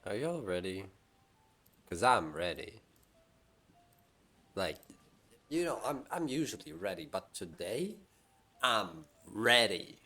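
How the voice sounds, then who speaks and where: A man's voice: lowish voice, big pitch swings, slow, pauses often, sharp tone, faint music behind. A man streaming a game live, chatting casually to his viewers and musing aloud to himself. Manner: talks to chat and muses aloud to himself.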